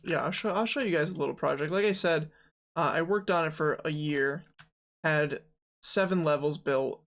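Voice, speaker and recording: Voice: medium pitch, moderate pace, fairly bright tone. A person in their early twenties streaming game development, talking casually and thinking aloud with jokes and frequent fillers. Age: 20s. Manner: joking, rambling, self-talk with fillers.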